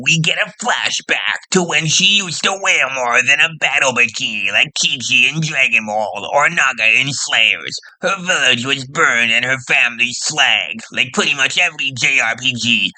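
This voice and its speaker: A man's voice: mid-range voice, bright, forceful tone, poor audio. A man narrating a parody recap as the storyteller.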